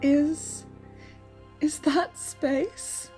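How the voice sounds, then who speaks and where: A woman's voice: high-pitched, fairly even intonation, drawn-out words, darkish tone, soft background music. A woman playing an anxious but hopeful airline passenger in an audio drama. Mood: nervous.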